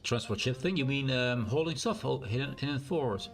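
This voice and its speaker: A man with a naturally loud voice and a strained, sore throat, thinking aloud in a rambling way as he streams a game. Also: medium pitch, fairly quick, soft background music. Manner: rambling, thinks aloud with fillers and self-corrections. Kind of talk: casual live-stream gameplay commentary.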